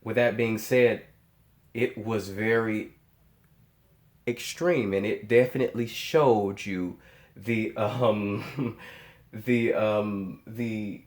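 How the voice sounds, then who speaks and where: An American man giving casual, off-the-cuff reaction commentary as a channel host, emphatic and repeating himself, often halting as he searches for words. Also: on the low side, fairly even intonation. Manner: emphatic, repeats phrases in amazement. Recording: echoey, roomy sound.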